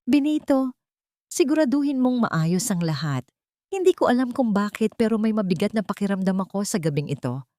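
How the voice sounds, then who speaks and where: Older male patriarch for audio fiction, performed by a woman: grave, heartfelt, animated intonation, dry recording. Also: medium pitch, fairly quick, dry recording, pitched above the performer's own voice, natural voice. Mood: uneasy. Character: wealthy older father, solemn mansion patriarch. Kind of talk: audio story character dialogue.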